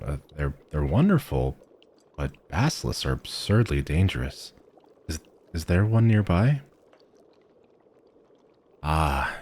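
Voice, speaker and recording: A man's voice: a bass voice, darkish tone, a little hiss or hum. A man playing a friendly, eccentric village alchemist shopkeeper in a fantasy audio roleplay, chatting eagerly to the listener with musing hums. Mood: awed, concerned.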